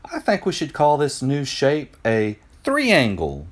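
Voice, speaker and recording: A man's voice: mid-range voice, wildly swooping pitch, slow pace, voice pushed up, exaggerated voice. A man voicing an eager ancient Greek geometry teacher in a children's storybook, explaining patiently and with emphasis. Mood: pleased, instructive.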